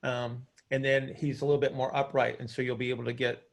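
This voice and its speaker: A man hosts a coaching call in an informal, hands-on way. His mid-pitched voice has a lot of creak and fry. He explains things conversationally and keeps checking that people follow. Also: little pitch movement, quick pace. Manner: informal, hands-on, checks understanding with short tag questions. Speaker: host and coach. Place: group video call.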